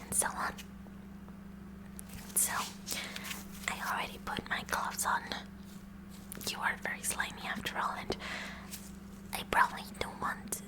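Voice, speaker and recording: Kooky, eager high school science club president played by a woman, nerdy and rambling, whispered ASMR roleplay, unhurried delivery. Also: lightly acted, poor audio. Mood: curious, intent. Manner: rambling, halting, nerdy, addressing the listener directly.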